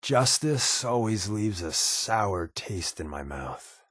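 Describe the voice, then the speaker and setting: A man voicing a wry, secretive spy companion in a video game, with casual, sardonic, deadpan quips. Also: on the low side, takes it slowly, no room sound, clean studio sound, heavily acted. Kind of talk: game companion dialogue lines.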